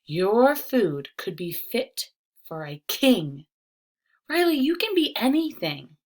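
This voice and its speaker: A woman's voice: fairly high pitch, sing-song, takes it slowly, heavily acted. A woman voicing an encouraging, upbeat male older cousin who reassures a child in rhyming storybook lines.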